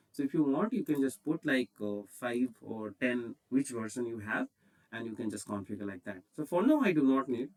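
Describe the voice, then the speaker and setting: Distant-miked Indian-accented man teaching software. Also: medium pitch. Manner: instructional, repeats "okay" as a check. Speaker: tutorial presenter. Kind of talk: step-by-step software screencast tutorial.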